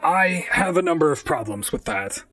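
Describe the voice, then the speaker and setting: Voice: mid-range voice, animated, moderate pace, gravelly, fairly bright tone, no room sound, far from the mic. An actor voicing a wry, talkative robot private detective in an audio drama, speaking and narrating in a dry, conversational noir manner. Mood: defensive, reasoning.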